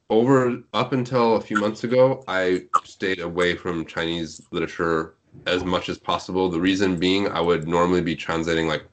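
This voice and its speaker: A man with a very deep voice chats informally on a podcast. He wanders into tangents and pokes fun at himself. There is some noise and clicking behind his voice. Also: fairly bright tone. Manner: rambling, tangential, self-deprecating talker. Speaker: translator and author, podcast guest. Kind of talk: casual podcast chat.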